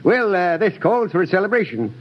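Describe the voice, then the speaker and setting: A folksy old dad, high-ish voice, dull old radio sound. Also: male voice, very animated, brisk. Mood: hearty. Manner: folksy, hearty. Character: hearty older father, folksy and proud. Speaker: actor in radio drama. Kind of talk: 1950s radio drama acting.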